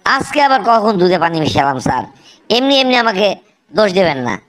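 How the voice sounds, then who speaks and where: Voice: medium pitch, sing-song, fairly quick, fairly bright tone. A voice actor playing a stubborn, cheeky milkman who insists he is innocent in a comedy cartoon. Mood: defensive. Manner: pleading, insistent.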